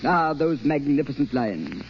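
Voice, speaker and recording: Pompous, swooping stage actor. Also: male voice, medium pitch, big pitch swings, unhurried. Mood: self-satisfied, effusive. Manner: pompous, theatrical, repeats himself. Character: vain, pompous, effusive romantic stage actor. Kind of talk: 1930s radio acting. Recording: muffled, hissy 1930s radio recording.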